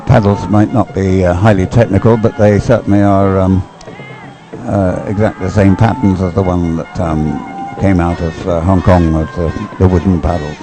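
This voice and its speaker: A man's voice: low-pitched, fairly even intonation, moderate pace, over music. A man giving live sports commentary in a hesitant, conversational, loosely phrased way. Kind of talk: live commentary on dragon boat racing.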